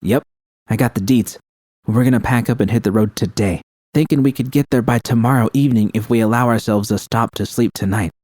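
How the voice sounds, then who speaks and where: A man's voice: medium pitch, brisk, a little mellow, no room sound, natural voice. A man voicing a brash, impatient, loyal and foul-mouthed nomad woman in a story, blunt and urgent. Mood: insistent.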